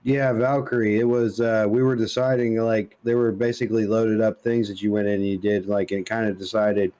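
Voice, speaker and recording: A man's voice: fairly low pitch, level tone, brisk, mellow. A man co-hosting a podcast in casual conversation, blunt and opinionated in the way he talks. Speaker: podcast co-host.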